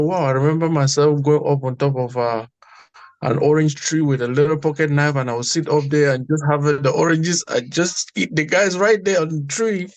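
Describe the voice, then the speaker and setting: A man telling a story and making his point in a casual group talk, earnest and growing animated. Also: fairly high pitch, no echo. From Cameroon. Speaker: guest.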